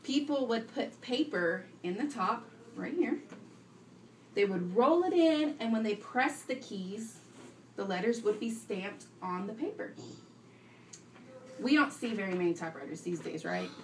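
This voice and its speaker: A woman's voice: medium pitch, lively intonation, a little slow. A woman teacher reading aloud to young children, instructing them and prompting them with questions. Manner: instructive, prompting and repeating pupils' answers. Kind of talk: classroom read-aloud with questions to pupils. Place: indoor classroom, recorded from a distance. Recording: roomy, distant classroom recording with faint noise.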